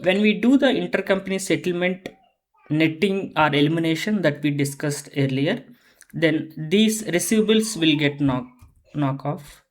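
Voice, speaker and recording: An instructor giving a methodical, step-by-step explanatory lesson on accounting software, often repeating phrases while explaining. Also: mid-range voice, moderate pace.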